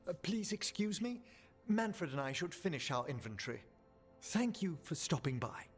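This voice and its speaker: A man's voice: on the high side, big pitch swings, moderate pace, soft background music. A man voicing a courteous, scholarly older necromancer professor in a video game, speaking politely and formally. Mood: politely concerned.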